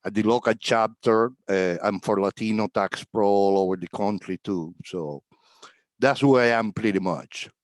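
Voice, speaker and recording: A middle-aged man from Cuba, a tax agent talking as a guest on a video call in a relaxed, rambling way with fillers and chuckles. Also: on the low side, normal speed, no echo. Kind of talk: casual video-call interview.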